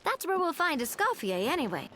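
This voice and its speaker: A woman voicing a poised, friendly and spirited young lady in a video game, with a breezy, expressive delivery. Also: sits high, fairly quick, noisy background. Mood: explanatory. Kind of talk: video game dialogue acting.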